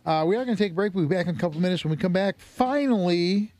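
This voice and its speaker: A man's voice: sits high, very fast. A man hosting a talk radio show, bantering with a co-host and joking and laughing often. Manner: animated, laughs often, jokey asides. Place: radio studio. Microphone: studio microphone.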